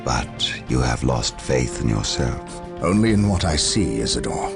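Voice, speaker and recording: An actor playing a proud, battle-hardened space marine captain in a video game, suspicious and solemn, with a forceful, formal delivery. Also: male voice, very deep voice, big pitch swings, a little slow, vocal fry, music in the background, heavily acted. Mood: grave, doubting. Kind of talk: dramatic video game cutscene acting.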